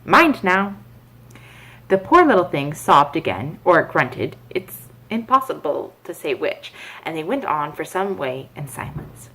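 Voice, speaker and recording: A woman narrates a children's bedtime story. Her voice sits fairly low and moves with very lively intonation, as expressive storybook reading. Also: measured pace, poor audio.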